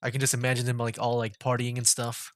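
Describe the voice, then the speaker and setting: A man's voice: medium pitch, monotone, fast talker. A young adult man giving casual livestream gameplay commentary as a game streamer. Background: game music.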